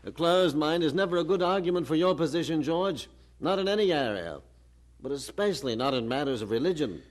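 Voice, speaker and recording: An actor playing a learned, condescending professor who argues in a measured, didactic way in a radio drama. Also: male voice, fairly high pitch, lively intonation, natural voice. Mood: patient lecturing. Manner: didactic, pompous, measured argument. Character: learned, condescending male university professor arguing patiently.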